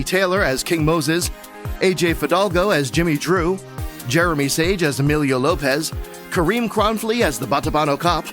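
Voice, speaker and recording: A man's voice: fairly high pitch, fairly bright tone. A man working as announcer, giving a scripted read of show credits.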